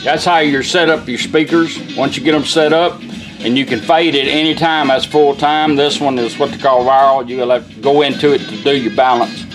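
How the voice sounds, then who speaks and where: A man's voice: mid-range voice, fairly even intonation, normal speed, low-quality recording. A man giving an informal, folksy hands-on product demonstration, explaining each step in an instructional way. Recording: music playing behind the voice.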